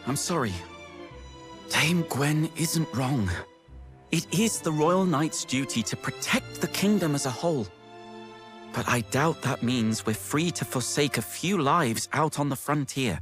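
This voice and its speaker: A man's voice: a little high, expressive, unhurried, fairly bright tone. A man playing an earnest, courteous young knight in a fantasy game, polite and deferential. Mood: earnest, apologetic. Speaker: video game character. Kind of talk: English game cutscene voice acting. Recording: music behind the voice.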